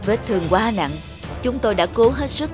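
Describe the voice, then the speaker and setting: A woman's voice: fairly high pitch, expressive, a little fast, over music, natural voice. Woman voicing a formal older hospital doctor. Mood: grave. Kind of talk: animated drama dub.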